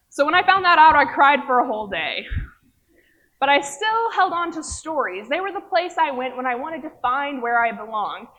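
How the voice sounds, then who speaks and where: A woman's voice: sits high, pushed and bright, muffled recording. A young woman from Oklahoma giving a rehearsed personal talk on stage, with wry, self-mocking humor. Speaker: speaker at a live event. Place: stage before an audience.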